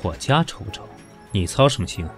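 Brief: an aloof, sharp-tongued boss, low voice, very lively inflection, soft music. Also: male voice, a little fast. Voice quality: dry and cutting. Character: cool, sharp-tongued older man, a company boss. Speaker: voice actor. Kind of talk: audio drama acting.

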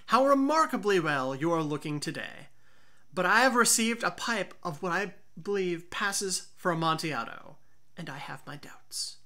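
A woman's voice: fairly low pitch, lively intonation, normal speed, sharp tone. A woman voicing a scheming avenger who feigns friendly concern, courteous and coaxing, in a dramatic storybook reading. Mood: falsely friendly.

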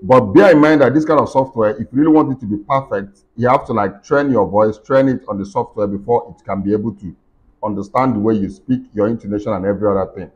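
A middle-aged man with a deep voice, giving a step-by-step software tutorial at his computer with plenty of fillers. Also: quick pace, breathy edge. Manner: explains step by step with many fillers and restarts. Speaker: tech tutorial host. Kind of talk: screen-recorded software walkthrough. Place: indoor room at a computer desk. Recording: dull lo-fi screen recording.

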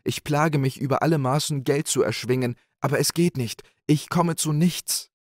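A man's voice: a little high, normal speed, no echo, clean studio sound, voice pushed up, natural voice. A man voicing a scheming, boastful male innkeeper in a storybook tale, speaking in an agitated, pleading way.

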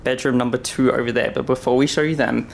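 A man's voice: mid-range voice, fairly even intonation, fast talker, gravelly, slight background noise. A young man vlogging a cruise ship tour, filmed at arm's length on camera in an upbeat, chatty guide manner. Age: young adult. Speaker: travel vlogger. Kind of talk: casual travel vlog tour. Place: indoor areas of a cruise ship. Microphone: camera held at arm's length.

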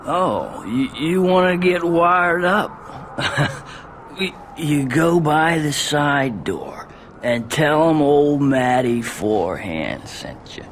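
A man's voice: a little high, expressive, takes it slowly, a bit bright, slight background noise, full character voice. An actor playing a seedy, boozy panhandler in a video game, wheedling and stammering as he rambles. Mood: eager, chummy. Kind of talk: dramatic video game acting.

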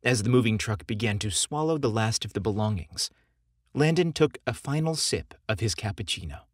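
A man narrating romantic fiction from a script in a measured, expressive storytelling manner. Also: on the low side, no echo, studio quality.

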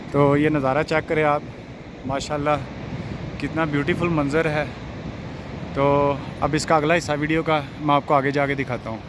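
A man in his thirties narrating a casual travel vlog outdoors on a handheld phone. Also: a little high. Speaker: travel vlogger. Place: outdoors in an open mountain valley. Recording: windy outdoor phone audio, loud noise.

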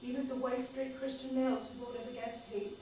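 A woman's voice: high-pitched, little pitch movement, brisk, mellow. A woman reading a personal monologue aloud on a small theater stage. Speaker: performer at a live stage reading. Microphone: distant camera microphone. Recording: echoey noisy theater, far-off camera.

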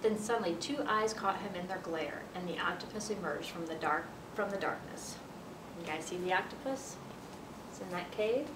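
An American woman in her thirties reading a picture book aloud to children. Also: moderate pace. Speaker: children's library storyteller. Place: library children's area, indoors. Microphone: camera a few feet away. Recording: roomy, distant mic with noisy rumble.

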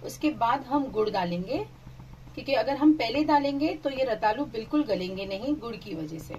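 A woman's voice: a little high, animated, normal speed, darkish tone, distant mic, highs cut off, slight background noise. A woman hosting her cooking channel, chatting through a recipe as voice-over and mixing English phrases into her talk.

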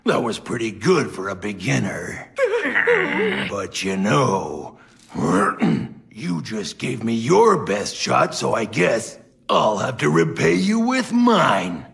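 A boastful, bullying animal king from a cartoon dub. The voice is heavily creaky and gravelly, with hugely animated pitch swings and a very slow, savoured delivery. It swaggers and taunts. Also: exaggerated voice. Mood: smug, menacing. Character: boastful bullying animal villain, self-styled king of stink. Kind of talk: English cartoon dub acting.

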